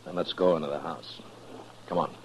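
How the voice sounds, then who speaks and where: A man's voice: very deep voice, fairly even intonation, fairly quick. An actor playing a stern, suspicious frontier lawman in a radio western, terse, dry and commanding. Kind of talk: 1950s radio western acting. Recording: noisy old-time radio recording.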